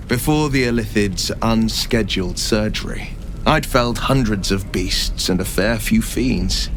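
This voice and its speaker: An actor playing a gallant, eloquent monster hunter in a fantasy game, with a florid, measured storytelling manner. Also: male voice, on the low side, noisy background, lightly acted. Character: gallant, eloquent male monster-hunting adventurer hero.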